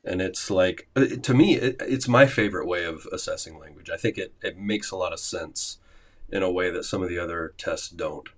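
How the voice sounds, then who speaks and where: A man's voice: low-pitched, normal speed. A man hosting a language-learning livestream, chatting casually with many fillers and restarts.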